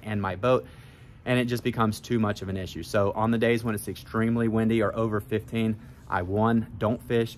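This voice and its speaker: A man, talking to the camera outdoors by a pool, relaxed and explaining step by step with many fillers. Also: mid-range voice, a little hiss or hum. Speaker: fishing guide. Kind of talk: casual instructional vlog.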